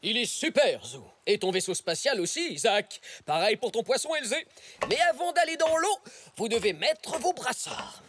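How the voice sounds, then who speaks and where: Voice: mid-range voice, sing-song, moderate pace, background noise, natural voice. An actor voicing a friendly, caring adult zebra beach attendant in a French children's cartoon. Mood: friendly, instructive. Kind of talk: animated children's cartoon dialogue, French dub. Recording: music behind the dialogue.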